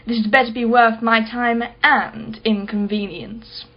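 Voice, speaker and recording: An actress playing a haughty, sharp-tongued wealthy art collector in a radio play, speaking in an articulate, imperious and sardonic way. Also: female voice, fairly high pitch, fairly even intonation, unhurried, muffled recording, natural voice. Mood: disdainful.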